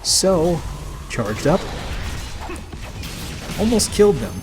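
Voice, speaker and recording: A man giving casual video game commentary as he plays, with halting, hesitant phrasing. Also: on the high side, sing-song. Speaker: let's player. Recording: game music behind the voice. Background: video game music.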